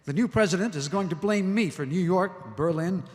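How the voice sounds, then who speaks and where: A man's voice: sits high, normal speed, lightly acted. A man acting as a proud, weary and powerful commissioner in a live audio drama. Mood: grim, brooding. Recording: echoey hall, live stage recording.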